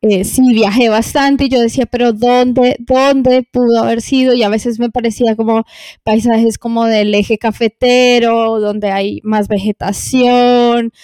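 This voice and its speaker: A woman talks casually on a podcast, pausing and circling back as she looks for the right word. Her voice sits a little high and sounds mellow rather than bright. The recording is close and dry, with no room sound. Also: flat delivery, clean tone, dry recording. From lived in Colombia. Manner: hesitant, searching for words, many fillers.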